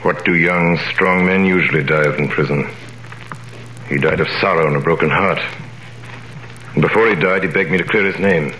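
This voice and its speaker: A solemn, mysterious stranger in an old radio play, his voice very low yet bright and forceful. He speaks in a measured, grave way. The sound is an echoey vintage broadcast with faint hiss. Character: grave mysterious stranger, the returned Dantes in disguise. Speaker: narrator and lead actor. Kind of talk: 1930s radio drama acting.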